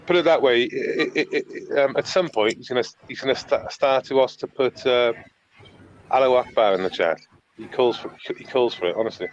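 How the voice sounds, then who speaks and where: A Welsh man with an ordinary middle-pitched voice talks over live footage in a loose, rambling way. He throws in crude, sarcastic asides and restarts often. A faint hiss sits under the voice. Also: a little fast, dull audio, a faint crowd. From Wales. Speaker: live stream host. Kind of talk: casual live commentary on riot footage.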